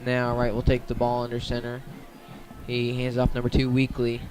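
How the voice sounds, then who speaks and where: A man's voice: medium pitch, completely flat delivery, moderate pace, over music, poor audio. A man giving radio-style play-by-play commentary on a football game.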